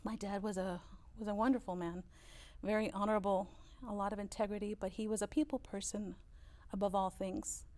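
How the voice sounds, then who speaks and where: A woman in her fifties, German her first language, recalls her family's history in an unhurried interview. She tells her stories at a middle pitch, pausing often on "uh" and warming up as each story goes on. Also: level tone. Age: 50s. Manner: storytelling, warm recollection with frequent "uh" fillers. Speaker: interview guest, business owner. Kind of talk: relaxed interview conversation.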